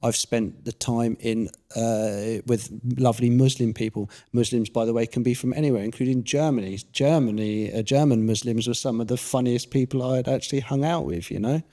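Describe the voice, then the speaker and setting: A man hosts a relaxed chat. He talks in a rambling, easygoing way and piles one thought onto the next. His voice is mid-pitched and ordinary. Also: brisk, few pauses. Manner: rambling, chatty, many fillers and restarts. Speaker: podcast host. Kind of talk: casual interview chat.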